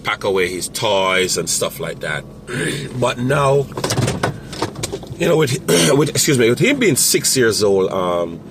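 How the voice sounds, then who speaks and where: A man talking casually to camera as a parent coach, rambling with many fillers and restarts, with a strong, loud voice. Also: medium pitch, big pitch swings, takes it slowly, noisy background.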